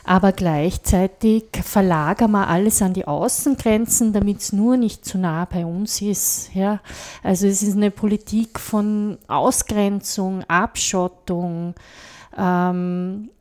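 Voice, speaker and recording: A woman's voice: mid-range voice, steady tone, slow, a little mellow. A woman with an Austrian accent in a casual political discussion, critical and emphatic, often adding short tag questions. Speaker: podcast panelist.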